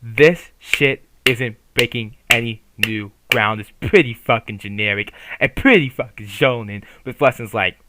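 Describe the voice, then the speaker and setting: A man's voice: mid-range voice, measured pace, hardly any pauses, background noise. A man giving casual, opinionated commentary on a manga, swearing freely and rambling with restarts. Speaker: YouTuber reviewing a manga.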